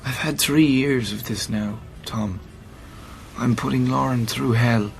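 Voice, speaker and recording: A man's voice: mid-range voice, a little slow, creaky, slight background noise. An Irish man of about thirty who is seriously ill in a radio drama. He speaks bluntly and colloquially, with dry, sardonic determination. The delivery is naturalistic and understated. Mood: weary, serious. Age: 30s. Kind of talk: naturalistic radio play acting.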